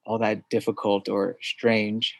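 A man from suburban New York, a podcast guest who answers thoughtfully with hesitant pauses. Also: lowish voice, level tone, gravelly, dry recording. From suburbs of New York City. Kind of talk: interview conversation.

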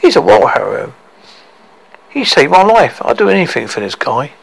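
A man's voice: a little high, sing-song, moderate pace, creaky, breathy edge, pitched above the performer's own voice, heavily acted, rough recording. A man voicing a conniving, pompous king in a storybook, smug and dismissive with false friendliness. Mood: falsely earnest.

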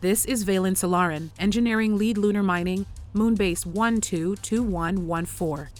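A woman's voice: medium pitch, moderate pace, soft background music, close to an everyday voice. A woman playing a worried, professional lunar engineer in an audio drama, formal but uneasy as she records messages and logs. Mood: urgent, controlled. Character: worried, professional lunar mining lead engineer, long isolated. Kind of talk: audio drama radio calls and log.

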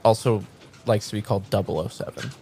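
A man playing a dry agency clerk in an improvised audio drama, tentative and deadpan as he reports. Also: fairly low pitch, fast talker, creaky, faint music behind. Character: unflustered, dry male agency clerk reporting to his superior.

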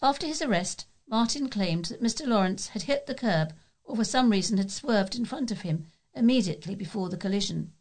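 A woman's voice: mid-range voice, lively intonation, fairly quick, a little mellow. A woman with a British accent reads local news articles aloud as a volunteer newsreader.

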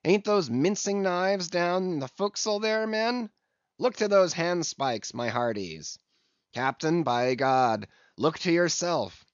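A man doing a defiant rough sailor. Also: a little high, no room sound, voice pushed up. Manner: defiant, blunt. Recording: dull, dry lo-fi recording.

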